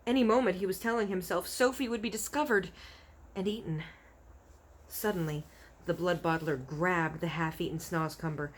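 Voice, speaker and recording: A woman narrating a children's story aloud in an expressive read-aloud style. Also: lowish voice, moderate pace, a little hiss or hum. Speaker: storybook reader.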